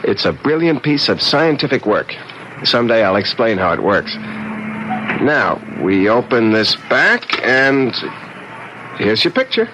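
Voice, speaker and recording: Eager young man, lively, noisy old radio. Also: medium pitch, takes it slowly. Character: eager young amateur photographer in love. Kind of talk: 1970s radio drama acting. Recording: noisy 1970s radio broadcast recording.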